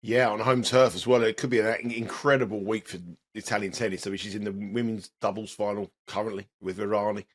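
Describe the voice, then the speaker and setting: A hoarse man, talking tennis betting as an analyst in a casual podcast chat, rambling with plenty of fillers. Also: fairly low pitch, level tone, quick pace, hardly any pauses. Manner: rambling, restarts often, many "uh" fillers. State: hoarse, voice partly lost.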